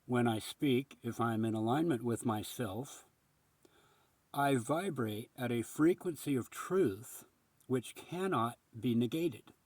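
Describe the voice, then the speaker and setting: A husky male voice in a dry, close setting, speaking as a spiritual teacher thinking aloud. He is earnest and contemplative, rambling through his reflections and leaning on pauses for emphasis. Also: medium pitch, darkish tone, dry recording.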